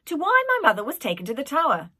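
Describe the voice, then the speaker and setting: A woman's voice: high voice, huge pitch swings, fast talker, bright, forceful tone, pitched above the performer's own voice, heavily acted. A woman voicing a stubborn, earnest young boy prince who questions and exclaims defiantly. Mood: anxious, questioning.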